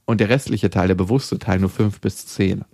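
Podcast co-host, low gravelly voice, dry close sound, jokey and frank. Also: male voice, steady tone, moderate pace, dark tone, no echo. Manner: joking, frank banter. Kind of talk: casual chat, reading listener mail.

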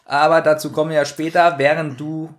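A man's voice: fairly high pitch, flat delivery, brisk. A man co-hosting a podcast in casual chat, putting in short, dryly teasing comments. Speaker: podcast co-host.

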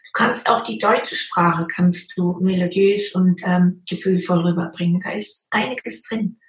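A woman's voice: lowish voice, a little slow, roomy sound, low-quality recording. A woman singer talking as a guest in a casual interview, earnest and plainspoken.

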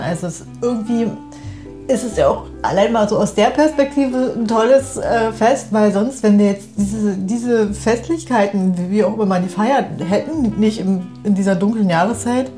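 A woman's voice: mid-range voice, normal speed, dark tone, soft background music. A woman in a casual, spontaneous chat, thinking aloud as she goes and laughing easily. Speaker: conversation partner in a private audio piece.

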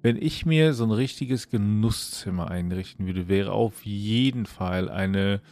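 A man's voice: lowish voice, unhurried, a little mellow. A man co-hosting a podcast in casual conversation. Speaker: podcast co-host.

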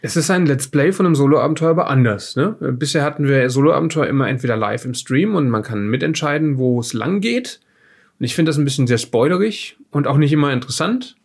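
Male podcast co-host, gravelly mid-pitched voice, informal chatty delivery. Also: lively intonation, fast. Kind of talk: casual chat.

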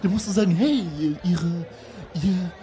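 A man's voice: sits high, measured pace, warm tone, faint music behind. A man playing a bumbling, lecherous male dating coach who stammers and hesitates, in an improvised comedy audio play. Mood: lecherous, insistent.

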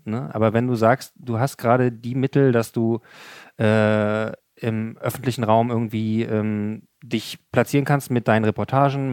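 A man of average pitch speaks as a guest on a casual podcast. He gropes for words, pausing on fillers and ending thoughts with small tag questions. His voice sits close and dry on the mic. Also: a little mellow, dry recording. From Berlin.

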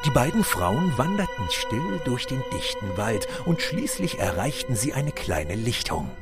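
A man's voice: medium pitch, sing-song, a little slow. A man narrating a scripted fairy-tale audio drama as a storyteller. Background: music.

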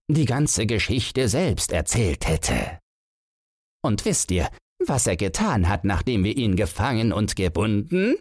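A man's voice: on the low side, a little mellow, no echo, clean studio sound, voice pushed up. A man voicing a garrulous older horseman, a grumbling and mocking servant of the king in a fairy tale audiobook.

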